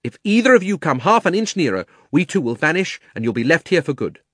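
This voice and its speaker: A man's voice: medium pitch, lively intonation, moderate pace, no echo, studio quality, close to an everyday voice. A man voicing a defiant, urgent young boy adventurer in a storybook reading. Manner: urgent, firm.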